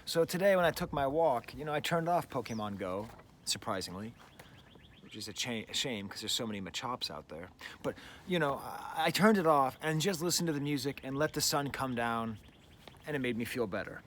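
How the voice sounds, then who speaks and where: A middle-aged man talks through an album in a loose, rambling way, circling back with "like" and "you know." His voice sits at an ordinary middle pitch. He is outdoors, with faint background noise behind him. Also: animated, a little fast, a bit bright. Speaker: music reviewer, show host. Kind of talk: casual spoken album review. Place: outdoors, birds nearby.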